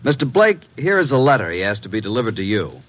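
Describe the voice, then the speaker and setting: An actor playing a polite, confident detective's assistant in an old-time radio drama, speaking in brisk statements. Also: male voice, on the low side, very animated, fairly bright tone, low-quality recording. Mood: solemn, assured.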